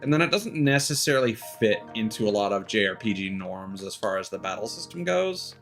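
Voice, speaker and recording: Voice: medium pitch, animated, brisk, a bit bright. A game streamer giving casual gameplay commentary, rambling and musing aloud. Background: video game music.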